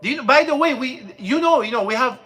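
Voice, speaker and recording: Bright-voiced man telling a story. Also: very high voice, lively intonation. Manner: storytelling, halting with many fillers and restarts. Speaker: imam. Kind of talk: mosque class talk. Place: mosque classroom.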